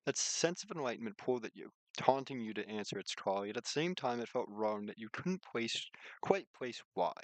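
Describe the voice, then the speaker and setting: A man's voice: medium pitch, animated, brisk, no room sound, rough recording. A man reading a visual novel aloud as a streamer, mixing narration with casual commentary.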